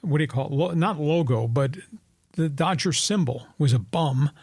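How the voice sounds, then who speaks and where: A man's voice: on the high side, normal speed, vocal fry, darkish tone, husky, dry recording, studio quality. A man in his seventies from New York, hosting a sports talk show and telling rambling, opinionated stories. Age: 70s. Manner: storytelling, opinionated, rambling with restarts. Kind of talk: sports talk radio chat.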